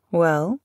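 A woman in a close-miked audio roleplay, speaking gently and affectionately with dry amusement, as a voice actor addressing a loved one. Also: lowish voice, no echo.

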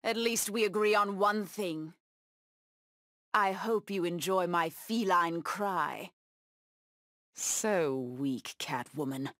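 A woman's voice: on the low side, slow, pauses often, a bit bright, no echo, clean studio sound. An actress voicing a proud, bloodthirsty cat-woman huntress villain in a video game, with menacing, taunting delivery. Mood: low, contemptuous.

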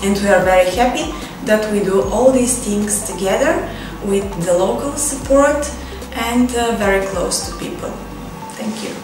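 A woman's voice: mid-range voice, normal speed. A woman with a Bulgarian accent, a local official speaking hesitantly with many fillers. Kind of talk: testimonial to camera. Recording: very echoey room, distant mic, faint music.

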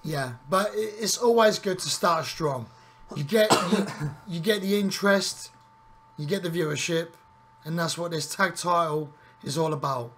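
A man with a husky voice, joining as a guest to casually commentate a wrestling game. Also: on the high side, measured pace, fairly bright tone, a little hiss or hum.

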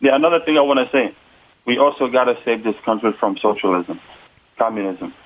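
Brief: male caller-in guest, even pitch, earnest halting delivery, phone sound. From United States. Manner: earnest, halting campaign talk. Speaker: political candidate guest. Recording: tinny phone line.

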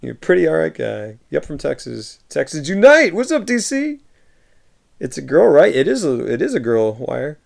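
A man in his thirties from the United States, a livestreamer in a room at home, reading viewer messages aloud and answering warmly through a webcam microphone. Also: a little high, huge pitch swings, moderate pace, vocal fry. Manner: reads viewer messages aloud and replies warmly, often laughing. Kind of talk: casual chat. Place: home room with shelves of films.

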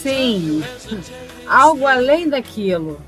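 A woman plays a devoted girlfriend who keeps gently probing, in an audio drama. Her voice is fairly high, with some music underneath. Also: big pitch swings, slow pace, heavily acted. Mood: tender, probing.

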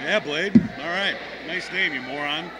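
A man's voice: fairly high pitch, measured pace. A man doing bantering, mocking commentary at a wrestling show. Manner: mocking, snide. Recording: noisy crowd behind commentary. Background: wrestling crowd noise.

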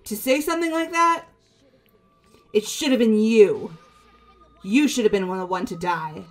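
A woman's voice: mid-range voice, unhurried, lightly acted. A woman voicing an impulsive, emotional, hot-headed teenage girl in a video game. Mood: outraged. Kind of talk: amateur video game voice-over. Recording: background music throughout.

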